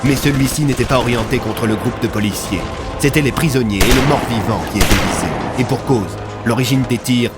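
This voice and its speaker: A man's voice: medium pitch, fairly quick, loud music behind the voice. A man narrating scripted audio fiction in a dramatic storytelling manner.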